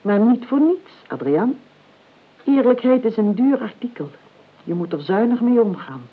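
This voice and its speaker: An actress playing a sardonic, calculating older aunt in a Dutch radio play, speaking in a measured, dry way and drawing out key words. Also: female voice, a little high, very animated. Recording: tinny, band-limited old radio recording, clear noise.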